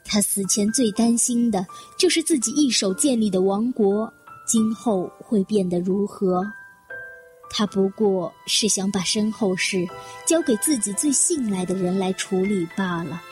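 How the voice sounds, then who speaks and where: A woman's voice: medium pitch, unhurried, a little mellow, natural voice. A woman playing a bitter, self-deprecating career secretary in an audio drama, giving a brooding, wistful inner monologue. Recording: music behind the voice.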